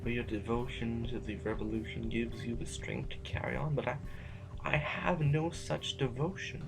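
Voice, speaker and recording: A man's voice: mid-range voice, fairly even intonation, moderate pace, creaky, over music, lightly acted, low-quality recording. A man acting as a reluctant, argumentative intellectual rebel in an audio drama, hesitant and wordy, anxious to survive. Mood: pleading, argumentative.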